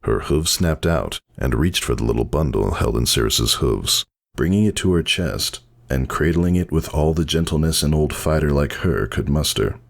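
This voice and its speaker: Very deep, gravelly man narrating dramatically. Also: animated, normal speed, few pauses.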